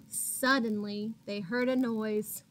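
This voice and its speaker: A woman teacher reading a picture book aloud to young children, expressively, pausing to put questions to them and gasping for suspense. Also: fairly high pitch, a little hiss or hum. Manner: expressive, addresses children as friends, gasps for suspense.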